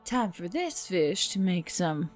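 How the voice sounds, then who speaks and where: A woman's voice: on the low side, big pitch swings, takes it slowly. A woman voicing a brash, reckless, sardonic female adventurer in a horror story, with theatrical delivery. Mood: defiant. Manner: theatrical, from stage whisper to screech. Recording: dry voice over music.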